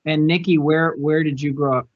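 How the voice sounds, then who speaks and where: Male interviewer, slightly high voice, casual chat, somewhat dull recording. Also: fairly even intonation, moderate pace. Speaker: podcast interviewer.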